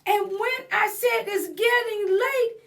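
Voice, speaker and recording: A forlorn little storybook pumpkin, acted out by a woman, pitched way up high. It tells its tale in slow, stretched-out rhyme. The manner is plaintive and highly theatrical. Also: gravelly, a bit bright, recorded from a distance, exaggerated voice. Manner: plaintive, theatrical, drawn-out rhyming delivery. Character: forlorn, dramatic ugly little pumpkin telling its life story. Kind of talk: storybook character read-aloud.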